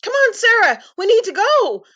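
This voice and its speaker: A woman's voice: very high voice, sing-song, normal speed, sharp tone, muffled recording, voice pushed up, exaggerated voice. A woman playing an urgent, commanding young psychic leading an escape in an audio drama. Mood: frantic.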